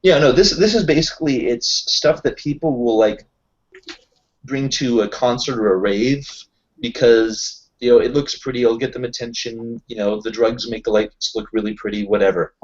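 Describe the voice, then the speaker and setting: A man's voice: medium pitch, normal speed. Casual rambling man, dull lo-fi sound. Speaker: tabletop roleplaying player. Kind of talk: casual in-character chat.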